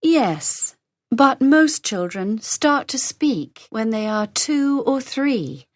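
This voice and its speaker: Woman, lesson dialogue reader: unhurried, pitch rising and falling a lot, dry close sound. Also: big pitch swings, no room sound. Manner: slow, carefully enunciated, lines read three times over. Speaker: dialogue reader for English learners. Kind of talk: scripted lesson dialogue, each line repeated.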